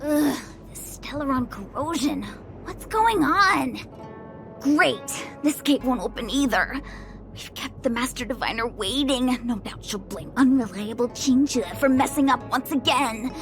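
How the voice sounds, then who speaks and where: Breezy young slacker girl from a game, high bouncy theatrical voice, music under it. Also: expressive, unhurried. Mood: bored, resigned. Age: young adult. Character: breezy young slacker woman, playful fortune-teller guide. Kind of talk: animated video game voice acting. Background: background music.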